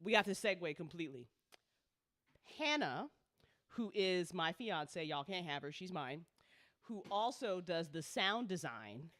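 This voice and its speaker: A woman's voice: on the low side, dry recording, studio quality. A woman chatting casually as the host of a live listening party, informal, playful and freely sweary. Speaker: host of a live audio drama listening party, co-founder, writer and director. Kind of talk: casual live-stream chat.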